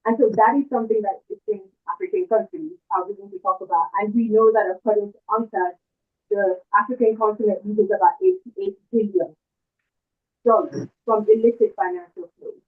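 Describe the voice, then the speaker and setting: A woman speaks over a thin, phone-like call line. Her pitch sits in a middle range. She sets out her points in an earnest, measured way, like someone used to policy discussions. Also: steady tone. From Nigeria. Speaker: audience member asking a question. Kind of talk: video call panel question. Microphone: video call. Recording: tinny video call audio.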